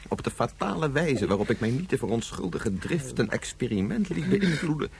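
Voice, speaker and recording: An actor playing a guilt-ridden, hot-tempered scientist and father, halting and self-accusing, in a science fiction radio drama. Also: medium pitch, sing-song, vocal fry, a little mellow, slight background noise. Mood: anguished, remorseful.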